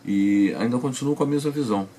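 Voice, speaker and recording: A man speaking as a radio listener in an interview, reflecting on his experiences and haltingly searching for words. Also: mid-range voice, dark tone, a little hiss or hum. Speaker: shortwave listener being interviewed. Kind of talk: reflective personal recollection.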